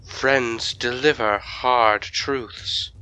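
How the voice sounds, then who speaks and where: An actor playing a haughty, impatient ancient vampire lord in an audio drama, speaking in a formal, measured, disdainful way. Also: male voice, mid-range voice, steady tone, pushed and bright, clear, resonant tone, soft background music, low-quality recording. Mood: haughty, scolding.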